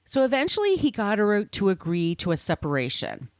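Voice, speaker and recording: A woman's voice: medium pitch, big pitch swings, brisk, no echo. A woman hosting a podcast, telling literary history conversationally in an informal, explanatory way with asides. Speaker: podcast host.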